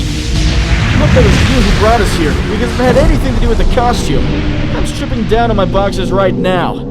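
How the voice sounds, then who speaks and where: Guy doing young smartass superhero for a comic dub, dramatic and wry, super animated, music behind. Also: high-pitched. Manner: sardonic, quippy, theatrical inner monologue. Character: young wisecracking superhero, self-pitying yet dauntless. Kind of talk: comic dub character acting.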